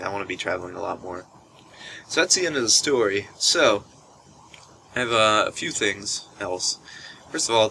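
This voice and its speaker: A young man telling a casual anecdote to camera in an animated way, acting out what people said, recorded on a poor camera microphone. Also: creaky. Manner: animated retelling, acts out quoted speech. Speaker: storytelling vlogger. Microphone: built-in camera microphone. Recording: noisy low-quality camera microphone.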